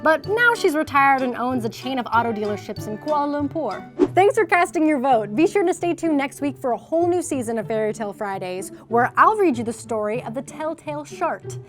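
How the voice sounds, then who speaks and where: A woman's voice: high voice, lively intonation, a little fast, faint music behind. A woman hosting a comedy series, telling scripted stories to viewers in a tongue-in-cheek way. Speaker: host of a comedy fairy tale series.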